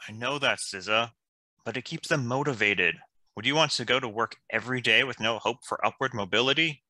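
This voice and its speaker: A man playing an upbeat, earnest archer who motivates his team, in an animated fantasy table read. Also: mid-range voice, fairly quick, no room sound. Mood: defensive. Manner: encouraging, chipper.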